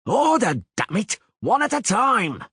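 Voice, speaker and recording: A man voicing a gruff middle-aged soldier who roars threats and orders, in a husky voice. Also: sits high, wildly swooping pitch, unhurried, fairly bright tone, no echo, clean studio sound, voice pushed up, full character voice. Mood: barking.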